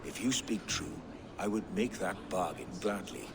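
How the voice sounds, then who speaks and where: A man's voice: deep voice, expressive, moderate pace. A man playing an ambitious, resentful captive prince in a video game, scheming and formal. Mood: bitter, scheming. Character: ambitious, resentful captive royal brother, male. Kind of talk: video game cutscene acting. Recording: clearly noisy ambient background.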